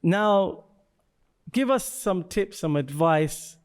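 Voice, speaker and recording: A man's voice: high voice, slow, clear, resonant tone. A man hosting a podcast interview who encourages his guest and often answers with "yeah". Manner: encouraging, with steady "yeah" affirmations. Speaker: podcast host.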